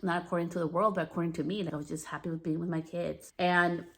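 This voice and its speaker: A woman in her thirties with a deeper natural voice, hosting a podcast in a frank, candid monologue that swears freely. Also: level tone, fast, vocal fry. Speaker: podcast host.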